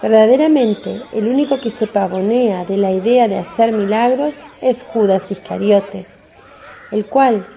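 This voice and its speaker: A woman's voice: medium pitch, measured pace, vocal fry, mellow. A woman giving scripted dramatic narration of a religious story.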